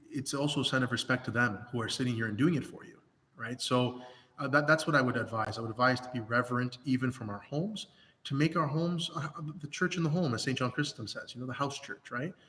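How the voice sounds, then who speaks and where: A hoarse man speaking as a priest in a livestreamed pastoral talk, earnest, with many restarts and fillers. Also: mid-range voice, normal speed, fairly bright tone, big-room sound. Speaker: Orthodox priest.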